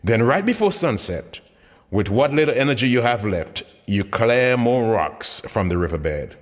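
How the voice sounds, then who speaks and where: A man's voice: medium pitch, big pitch swings, a little slow. A man reading his own motivational book aloud as scripted narration, with dramatic, emphatic delivery. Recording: long hall-like reverb.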